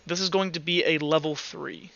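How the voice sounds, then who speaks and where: Male game master, bright forceful voice, halting improvised narration and rules calls. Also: a little high, fairly even intonation, gravelly, highs cut off. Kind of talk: narrating and refereeing a tabletop roleplaying game.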